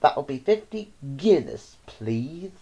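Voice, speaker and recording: Woman playing a gleeful, pompous male dentist, swooping pitch. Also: big pitch swings, takes it slowly, mellow, slightly rough, heavily acted. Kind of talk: theatrical verse storytelling.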